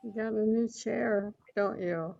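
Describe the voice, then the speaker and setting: An older woman with a raspy voice, chatting casually with family on a video call. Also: mid-range voice, steady tone, unhurried, mellow. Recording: muffled video call audio.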